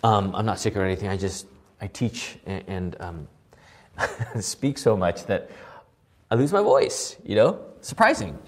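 Hoarse, animated man teaching scripture. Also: on the low side, a little slow. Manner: animated, asks questions and answers them himself. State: losing his voice, hoarse. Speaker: pastor teaching a Bible study. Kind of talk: expository Bible teaching.

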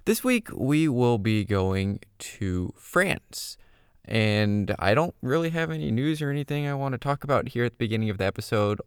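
A man's voice: mid-range voice, animated, normal speed, clear, resonant tone, no room sound, clean studio sound. A man hosting a podcast, reading a scripted story with a dramatic, suspenseful delivery. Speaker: podcast host.

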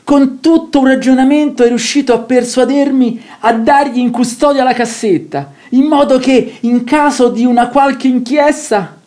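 Radio drama, duped middle-aged father, agitated and declamatory, voice pitched very high for a man. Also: steady tone, normal speed, few pauses, darkish tone. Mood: anxious, dismayed. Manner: declamatory, aggrieved. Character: agitated, gullible father and master of the house, betrayed. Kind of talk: theatrical radio drama acting.

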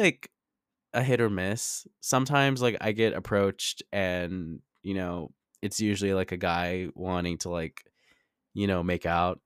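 Chatty young man, smooth low-pitched voice. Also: no room sound, studio quality. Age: 20s. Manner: chatty, informal, frequent "like" and swearing. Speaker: podcast host. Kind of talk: casual confessional storytelling.

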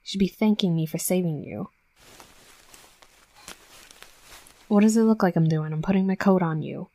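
Woman acting a gruff tsundere werewolf girl, low-pitched. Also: fast talker, mellow, natural voice. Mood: exasperated, bossy. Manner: brash, swearing, grudgingly tender. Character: tough young female werewolf bully, gruff tsundere, secretly caring. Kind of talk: intimate roleplay audio drama.

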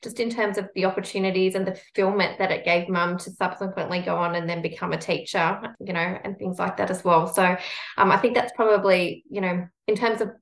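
A woman's voice: mid-range voice, fairly even intonation, brisk. A woman giving a casual, personal interview answer in a hedging, conversational way. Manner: hedging, conversational, frequent "you know". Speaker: guest, university equity practitioner. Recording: roomy, echoing sound.

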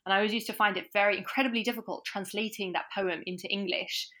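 A woman's voice: mid-range voice, steady tone, quick pace, fairly bright tone. A woman guest in a casual interview, talking thoughtfully with many restarts. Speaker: language course provider, guest.

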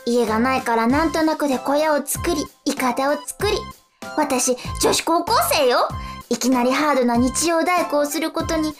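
A very high, girlish voice from an actress plays a castaway schoolgirl in a radio drama. She is blunt and exasperated, narrating in chatty, complaining asides. Music plays clearly underneath. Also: normal speed, lightly acted. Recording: music behind the voice.

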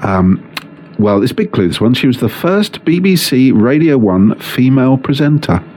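A man hosts a relaxed radio chat. His pitch moves around a lot, and he often stumbles and repeats himself while he keeps the talk going. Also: moderate pace, slight background noise. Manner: chatty, hesitant, repeats words and laughs often. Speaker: radio show host. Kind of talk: casual chat.

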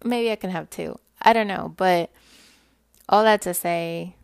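Low gravelly woman podcasting. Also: a little slow, no room sound, studio quality. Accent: Puerto Rican. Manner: candid, chatty, laughs often. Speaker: podcast host. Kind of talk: casual personal podcast monologue.

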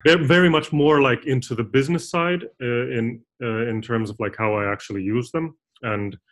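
Man, halting and rambling. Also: mid-range voice, fairly even intonation, a bit bright. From Finland. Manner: rambling, halting, often restarting words. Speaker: guest, design consultant. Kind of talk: casual interview talk.

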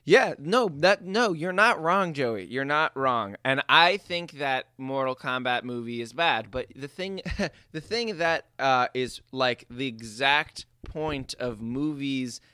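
A man's voice: mid-range voice, animated, slow, bright, forceful tone, clean tone, no room sound, clean studio sound. A man co-hosting a casual movie discussion, explaining his points emphatically. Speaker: podcast co-host.

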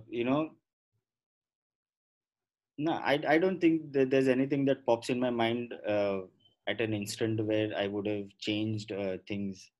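A man's voice: mid-range voice, darkish tone, muffled recording. A man with an Indian accent giving thoughtful, hesitant answers as a guest in a casual interview. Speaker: podcast guest.

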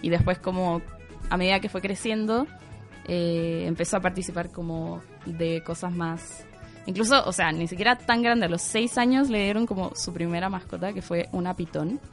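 Casual woman podcast host, rambly and giggly, soft music under her. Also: fairly low pitch, normal speed. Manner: rambling, many fillers, laughs often. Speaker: co-host presenting the segment.

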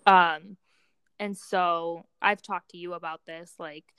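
A woman's voice: on the low side, fairly even intonation, slow pace, a bit bright, smooth, no echo. A young adult woman from Romania co-hosting a casual, reflective podcast conversation, hesitating as she searches for words. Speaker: podcast co-host, doctoral student in psychology.

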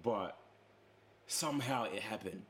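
A man's voice: lowish voice, unhurried, a bit bright, slight background noise. A man in casual group chat, playful and stubborn, often laughing and using British slang.

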